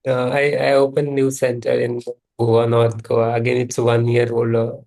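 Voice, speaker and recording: A man interviewing a guest, asking questions in a halting way as he searches for words. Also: medium pitch, monotone, gravelly, darkish tone. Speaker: interviewer, healing center owner.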